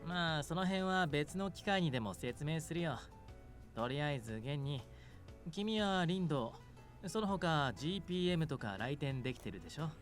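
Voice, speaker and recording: A man's voice: on the high side, animated, quick pace, lightly acted. An actor voicing an easygoing, reassuring young man in a visual novel game. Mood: relaxed, casual. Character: easygoing, reassuring young male cafe regular. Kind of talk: Japanese visual novel voice acting. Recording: game music behind.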